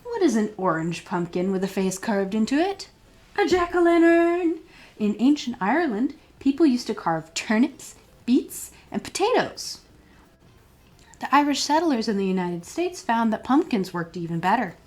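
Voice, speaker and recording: A woman's voice: a little high, big pitch swings, moderate pace, darkish tone. A woman reading a children's picture book aloud as a storytime librarian, expressively and with questions put to young listeners.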